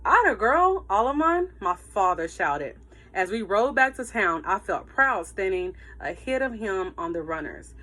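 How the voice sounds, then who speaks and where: Bright, expressive woman reading to children. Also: lowish voice, measured pace. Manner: expressive, emphatic storytelling. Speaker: teacher reading aloud to pupils. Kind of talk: animated children's read-aloud with asides to listeners.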